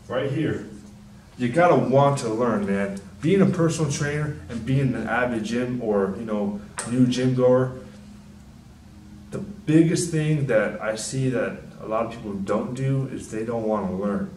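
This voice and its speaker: A young man, a personal trainer, giving casual, rambling fitness advice in a deep, soft-spoken, mumbling voice, swearing freely. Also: normal speed, reverberant, far from the mic, a little hiss or hum, poor audio. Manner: laid-back, rambling, frequent swearing and fillers. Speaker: personal trainer and channel host.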